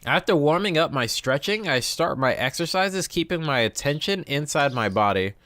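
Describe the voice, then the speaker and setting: A man's voice: a little high, expressive, normal speed, bright, forceful tone, no room sound. A man hosting a gameplay video, reading visual novel text aloud and dropping in informal asides. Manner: informal, reacts to the text he reads.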